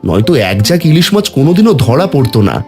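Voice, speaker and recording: A man's voice: fairly high pitch, sing-song, fast, warm tone, soft background music. A man voicing a contented village father and fisherman in a cartoon story.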